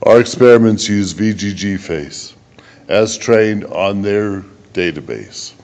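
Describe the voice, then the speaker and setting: A man giving a technical conference presentation as a researcher, in a measured, halting way with occasional stumbles. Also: lowish voice, animated, a little mellow.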